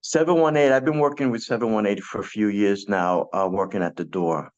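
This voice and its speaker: A man's voice: fairly low pitch, a little fast, darkish tone, highs cut off. A man in his sixties, born in Puerto Rico and raised in Brooklyn, talking casually in an interview with many fillers and restarts. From born in Puerto Rico, raised in Brooklyn, New York. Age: 60s. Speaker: guest DJ.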